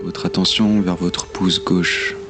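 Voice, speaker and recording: A man leading a guided meditation in a calm, soothing, measured delivery with long pauses. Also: low-pitched. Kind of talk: slow guided meditation narration. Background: meditation music.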